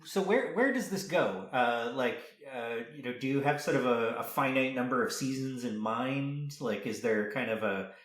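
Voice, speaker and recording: A man's voice: mid-range voice, moderate pace, few pauses, fairly bright tone. A man hosting a casual interview, encouraging his guest and phrasing his questions in a loose, tentative way. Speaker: podcast interviewer. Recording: roomy, echoey sound.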